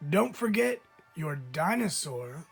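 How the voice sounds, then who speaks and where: A man's voice: on the high side, very animated, takes it slowly, creaky, soft background music, full character voice. A man reading a picture book aloud, voicing a smiling, reassuring bakery lady. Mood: breezy. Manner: breezy, reassuring.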